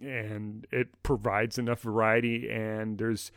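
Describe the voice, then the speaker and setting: A man's voice: fairly low pitch, flat delivery, takes it slowly, fairly bright tone, no room sound. Man hosting a podcast, close dry sound. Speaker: podcast host. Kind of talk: podcast discussion.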